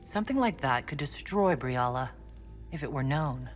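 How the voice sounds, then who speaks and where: A man voicing the game's Inquisitor hero, calm and direct, asking questions in a cutscene. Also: mid-range voice, moderate pace, soft background music, close to an everyday voice. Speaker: player character, the Inquisitor. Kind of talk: video game cutscene dialogue.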